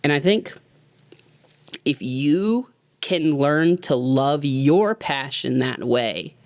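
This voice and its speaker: Man, thirties, gravelly voice, dry close sound, earnest and rambling, motivational vlog talk. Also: a little high, expressive, extremely slow, no echo. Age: 30s. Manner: earnest, heartfelt, loosely rambling with fillers. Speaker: music producer and YouTuber.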